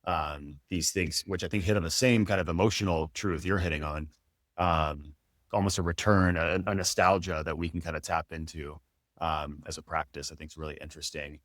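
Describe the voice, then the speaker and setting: A man's voice: deep voice, steady tone, a little fast, fairly bright tone, no room sound, studio quality. A man hosting an interview in a casual, conversational style, with thoughtful, hedged phrasing. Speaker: interview host.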